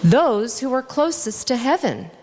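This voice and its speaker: A lofty female heron from a picture book, voiced by a woman storyteller, prudent and sure. The voice lifts high and lilts through each declaration, ringing in a reverberant hall. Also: big pitch swings, measured pace, darkish tone, full character voice. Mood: lofty, assured. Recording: echoey hall with long reverb.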